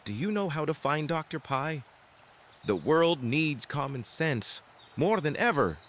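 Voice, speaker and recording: A man's voice: a little high, big pitch swings, a little slow, a bit bright, slight background noise, heavily acted. An actor playing an earnest, deferential father and devout follower in an audio drama.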